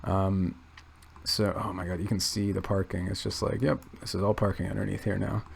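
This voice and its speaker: A man quietly thinking aloud in a gravelly low register, rambling with many restarts and fillers. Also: level tone, normal speed, slight background noise. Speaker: channel host. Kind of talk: casual rambling commentary.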